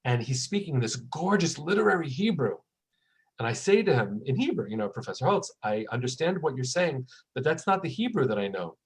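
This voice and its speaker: A man's voice: mid-range voice, very animated, a little fast, slightly rough, poor audio. A man in his forties, a rabbi and author, talking in a conversational interview and telling stories that act out the people he quotes. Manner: warm storytelling, acts out quotes.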